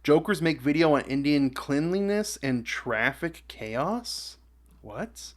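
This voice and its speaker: Man reading aloud in halting, casual fashion. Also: fairly high pitch, lively intonation. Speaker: reaction video host.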